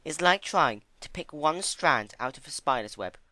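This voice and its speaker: A man voicing a timid, gentle female pony with a soft, hesitant, earnest delivery in a story reading. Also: a little high, animated, normal speed, pitched above the performer's own voice. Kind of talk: dramatic fan-fiction reading.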